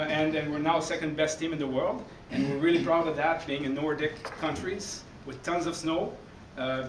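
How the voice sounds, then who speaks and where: A man with a Québécois French accent talks energetically to a room of coaches. His voice sits a little high, and he often tosses in "okay?". He is recorded from far off in a roomy, echoey hall with noise underneath. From Quebec City, Canada. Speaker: baseball coach giving a conference talk. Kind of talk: conference presentation. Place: dark conference hall, speaking in front of a screen. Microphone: room recording from a far camera. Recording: echoey hall, heard from afar, noisy.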